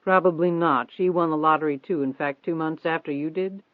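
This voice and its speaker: Guy doing a confident nosy reporter man, pressing hard, audiobook, higher-ish voice, sounds like a phone line. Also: brisk, no room sound, natural voice. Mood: probing. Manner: insistent, steady, probing. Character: pushy, persistent male newspaper reporter, coaxing and confident. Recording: thin phone-like band-limited audio.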